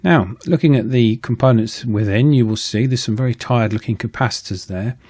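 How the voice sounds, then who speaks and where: A man's voice: mid-range voice, moderate pace, a little mellow, slightly rough. A man giving casual, explanatory repair commentary as a channel host, in an informal, matter-of-fact and somewhat hesitant way.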